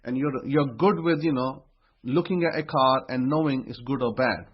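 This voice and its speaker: A man's voice: medium pitch, normal speed, husky. A man giving a motivational religious talk, emphatic and repeating phrases as he builds his point. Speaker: religious teacher. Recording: dull lo-fi recording.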